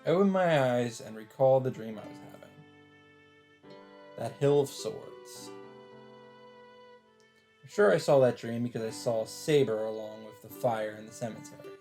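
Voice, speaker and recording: A man's voice: mid-range voice, moderate pace, long pauses, mellow, faint music behind. A man reading visual novel text aloud as casual narration, dropping in his own asides.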